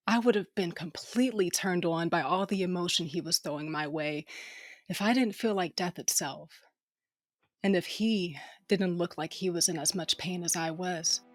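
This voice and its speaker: A woman's voice: fairly low pitch, no room sound, clean studio sound. A woman playing a tough, wounded, sardonic smuggler who tells her own story in an audio drama, intimate and wry. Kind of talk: first-person audio drama narration with dialogue.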